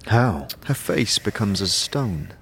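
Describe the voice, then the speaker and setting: A man's voice: mid-range voice, very animated, measured pace, fairly bright tone. A man narrating a scripted fantasy audio drama in the second person, as a storyteller. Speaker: narrator and lead player. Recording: faint background noise and ambience.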